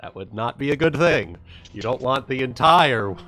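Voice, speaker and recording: A man narrating a live tabletop roleplaying session as game master, halting as he searches for words. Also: medium pitch, expressive, fairly bright tone. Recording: music playing behind the voice. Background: background music.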